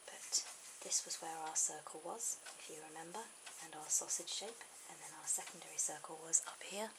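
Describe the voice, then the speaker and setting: A woman gives a drawing tutorial in a soft, breathy ASMR whisper. Her voice sits on the low side with a creaky edge, and she pauses informally mid-thought. The amateur camera audio is very noisy.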